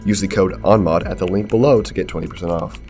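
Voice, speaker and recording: A man narrating a scripted gaming tutorial in a measured, explanatory way, as a video creator. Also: sits low, darkish tone. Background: background music.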